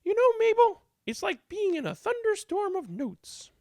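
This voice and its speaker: A reader voicing an excitable, chatty lady with a fluttery manner. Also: very high-pitched, moderate pace, dry recording, clean studio sound, pitched above the performer's own voice, full character voice. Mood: excited.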